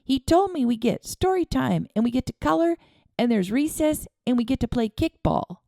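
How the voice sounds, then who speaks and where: A woman's voice: sits high, moderate pace, vocal fry, dry recording, studio quality, pitched above the performer's own voice. A woman doing a gleeful little boy. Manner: gleeful, bouncing with excitement. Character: excited little boy, eager for school.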